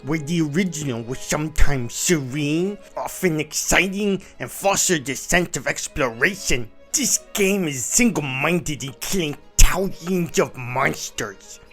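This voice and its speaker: A man's voice: fairly high pitch, expressive, measured pace, few pauses, fairly bright tone. A man giving a dramatic, emphatic reading of negative game reviews in a displeased, exaggerated manner. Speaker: narrator. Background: background music.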